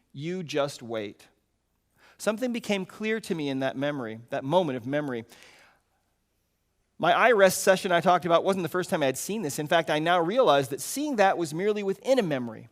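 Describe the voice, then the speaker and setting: A man reads a story aloud expressively at a live event, earnest, with dramatic pauses. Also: on the high side, animated. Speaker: speaker reading a story aloud at a retreat.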